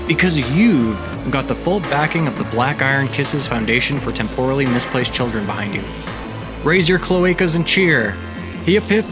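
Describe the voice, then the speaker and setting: A man's voice: a little high, voice pushed up, lightly acted. A man voicing a bizarre male lizard-man cult founder who makes pompous, preachy proclamations. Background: background music.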